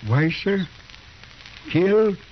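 A man's voice: high-pitched, expressive, drawn-out words, full character voice. A man playing a proud, stoic accused man in a 1950s radio western, speaking clipped, broken English in short phrases. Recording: dull, noisy 1950s radio recording.

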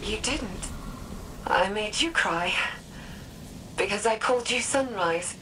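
A woman playing an earnest, tender, troubled woman in a sci-fi video game, speaking haltingly and with feeling. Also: medium pitch, sharp tone, slight background noise, poor audio. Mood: tender, wounded. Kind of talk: dramatic video game dialogue.

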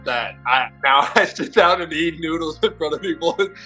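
A young man from Colorado with an American accent, chatting in a relaxed way on a video call at home and laughing often. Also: fairly high pitch, moderate pace, faint music behind. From Colorado, USA. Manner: laid-back, laughs often. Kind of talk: casual video chat. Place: home room indoors. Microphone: webcam on video call.

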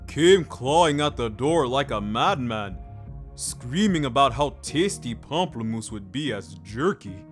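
An eccentric male landlord from an audio story, acted by a man. He is naively earnest and quirky, stressing words with big swings of pitch at a slow pace. Faint music sits behind him. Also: lively intonation, natural voice. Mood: dramatic, flustered. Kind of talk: scripted audio story dialogue.

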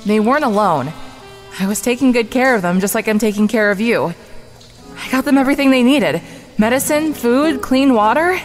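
A woman's voice: fairly high pitch, lively intonation, moderate pace, soft background music, close to an everyday voice. A woman playing a lonely, possessive, childlike snake woman in an audio drama. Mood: defensive.

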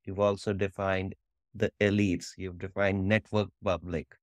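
A man hosting an interview, putting prepared questions in a measured way. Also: low-pitched, flat delivery, no room sound. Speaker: interviewer, podcast host.

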